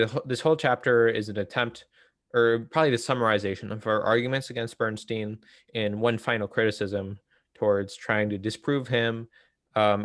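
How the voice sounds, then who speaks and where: Guy with a lowish voice talking thru a book casually, dry close sound, stops and starts while he thinks. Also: fairly bright tone, no echo. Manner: halting, thinking aloud, explanatory. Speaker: host of a political book read-along.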